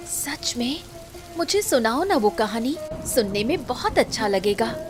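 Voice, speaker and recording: A woman playing a gentle, caring, cheerful family woman in a cartoon story, sweet in manner. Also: a little high, very animated, measured pace, music in the background.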